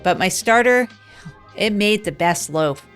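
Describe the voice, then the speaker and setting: A woman's voice: mid-range voice, unhurried, faint music behind, lightly acted. A woman narrating as a wry down-and-out woman. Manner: deadpan, rambling, self-deprecating asides. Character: wry down-and-out woman, self-mocking. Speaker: narrator of the show. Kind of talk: improvised comic first-person narration.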